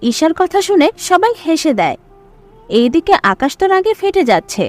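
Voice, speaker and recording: High, animated female story narrator. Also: moderate pace, faint music behind.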